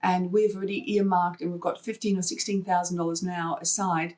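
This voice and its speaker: A woman's voice: lowish voice, level tone, fairly quick, darkish tone. A woman from Hong Kong chatting in an interview, telling anecdotes and acting out bits of remembered dialogue. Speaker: podcast guest, artist and wildlife fundraiser. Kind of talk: conversational storytelling interview.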